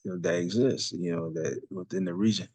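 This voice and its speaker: A man's voice: deep voice, brisk, darkish tone, husky, no echo. A man teaching a religious study session in a loose, conversational way, full of fillers and asides. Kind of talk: casual religious study talk.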